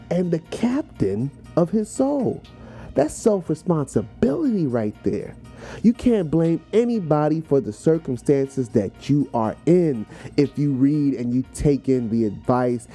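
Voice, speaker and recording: A man's voice: medium pitch, mellow. An American man hosting a podcast, giving an informal, emphatic motivational talk about what words mean, repeating phrases to drive them home. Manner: conversational, informal. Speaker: podcast host. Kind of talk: motivational talk explaining a poem.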